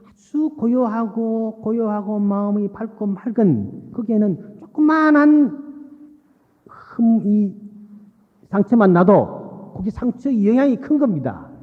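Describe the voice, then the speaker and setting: Male monk delivering a folksy sermon, slightly high-pitched voice, long hall reverb. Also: very animated, takes it slowly, pauses often. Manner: folksy, repeats phrases for emphasis, checks in with listeners. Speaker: Buddhist monk giving a dharma talk. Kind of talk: Buddhist sermon with storytelling. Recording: muffled phone-like sound in long-reverb hall.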